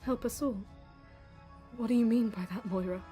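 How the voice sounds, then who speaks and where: A woman's voice: a little high, normal speed, dark tone, faint music behind, lightly acted. A woman playing an anxious, loyal assistant frightened for everyone, in an audio drama. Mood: alarmed.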